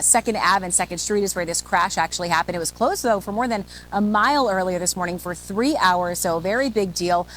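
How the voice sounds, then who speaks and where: Female field reporter, live news delivery, medium pitch, faint hum in the background.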